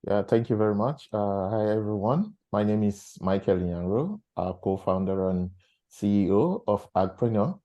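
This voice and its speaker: Deep mellow man from Nigeria. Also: lively intonation, normal speed, dull audio. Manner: hesitant, frequent uhs. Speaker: startup CEO. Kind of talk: panel discussion.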